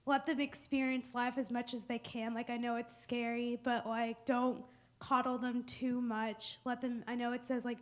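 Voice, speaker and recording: A young woman of twenty with a fairly high voice. She talks casually as a panelist, offering practical advice in everyday conversational language. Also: flat delivery, moderate pace. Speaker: panelist at a patient support symposium.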